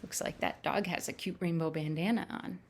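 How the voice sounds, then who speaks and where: A woman reading picture books aloud to young children in simple, measured phrases, with little asides to her listeners. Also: fairly low pitch, steady tone. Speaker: children's storytime reader. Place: at home.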